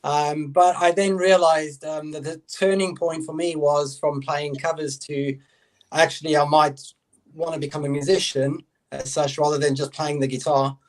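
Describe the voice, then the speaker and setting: Higher-voiced man, hesitant storyteller. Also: little pitch movement, moderate pace, rough recording. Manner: hesitant, many "um"s. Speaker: band guitarist and songwriter, guest. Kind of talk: casual podcast chat.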